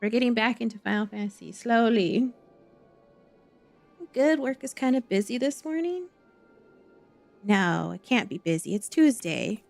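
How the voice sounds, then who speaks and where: A woman game streamer talks in a chatty, playful way with some creak in her voice. She keeps up casual livestream talk and greets her viewers, with faint music behind her. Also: a little high, lively intonation, unhurried, pauses often. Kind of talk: casual livestream chat, reading game dialogue aloud. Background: faint background music.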